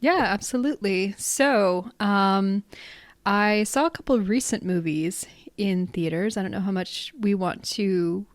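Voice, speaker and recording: A woman's voice: medium pitch, a little slow, no echo. Chatty woman co-hosting a film podcast. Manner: chatty, laughs often, many fillers. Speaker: podcast co-host. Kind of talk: casual film chat.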